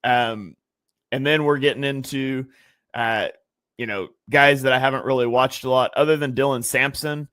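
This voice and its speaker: A man chatting through draft picks on a sports podcast, working things out as he goes with plenty of ums and restarts. His voice sits at an ordinary middle pitch. The sound is dry and close, with no room around it. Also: fairly even intonation, moderate pace, fairly bright tone, dry recording. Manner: conversational, analytical, many fillers and restarts. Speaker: guest draft analyst. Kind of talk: casual sports podcast chat.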